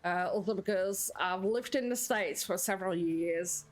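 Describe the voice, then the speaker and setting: A woman's voice: on the low side, moderate pace. A young Dutch woman with a Dutch accent, chatting with viewers while streaming a game. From Netherlands. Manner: chatty, answers viewers, bursts of laughter. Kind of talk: live-streamed gameplay chat.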